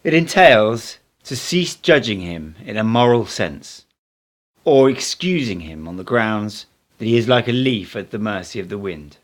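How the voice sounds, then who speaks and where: A man's voice: lowish voice, animated, unhurried. Man reading as an assured, emphatic male teacher. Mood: instructive. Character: Don Juan, assured male teacher, instructing and correcting.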